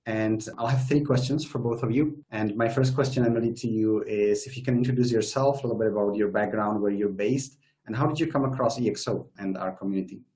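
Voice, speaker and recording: Low-voiced man hosting a video call. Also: brisk, few pauses, warm tone. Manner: friendly, hesitant, many fillers and restarts. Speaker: community host.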